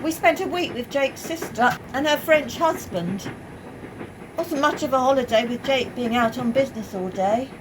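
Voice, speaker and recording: A woman's voice: moderate pace, lightly acted. A woman playing a blunt, put-upon British working-class mother in a radio play, by turns scolding and resigned. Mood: weary grumbling. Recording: clear noise, train rumble.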